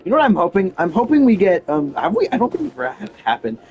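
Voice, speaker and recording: A man's voice: high voice, huge pitch swings, fast, darkish tone. Rambling man commentating over a game. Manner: rambling, informal, tangential. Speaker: game streamer. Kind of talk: casual gameplay commentary. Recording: faint game music behind.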